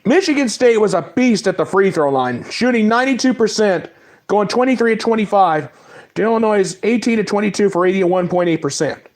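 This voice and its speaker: A man with a fairly high voice and a cold runs through game scores and player stats in a loose, casual monologue. He reels off the numbers in quick lists. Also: expressive. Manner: reads stats in quick lists, apologizes for mispronouncing names. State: has a cold. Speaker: sports talk host. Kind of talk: casual sports recap monologue.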